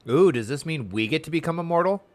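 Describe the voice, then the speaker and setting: A man's voice: on the high side, animated, fast talker, darkish tone. A man chatting casually on a livestream, commenting on the game he plays and talking to his viewers. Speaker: livestreamer playing an online game.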